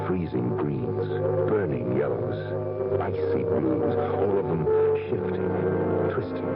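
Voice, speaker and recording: An actor playing a troubled, defensive fortune-hunting husband in a 1970s radio drama, hesitant and agitated. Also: male voice, a bass voice, heavily acted. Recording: dull 1970s radio recording, loud music.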